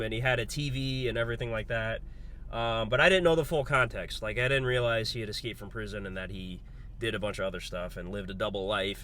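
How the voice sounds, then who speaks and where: Congested man chatting a movie review. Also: mid-range voice. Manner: relaxed, rambling, lots of fillers. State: congested, under the weather. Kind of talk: casual spoken movie review.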